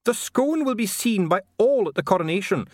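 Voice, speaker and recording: A man's voice: high-pitched, animated, brisk, a little mellow, no room sound, studio quality, voice pushed up. A man voicing an officious, evasive dwarf official in formal, clipped, protesting speech for an audiobook. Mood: indignant.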